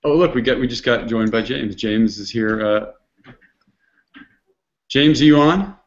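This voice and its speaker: A man's voice: mid-range voice, measured pace. Rambling male host on a lo-fi stream. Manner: rambling, many restarts and fillers. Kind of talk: casual online hangout chat.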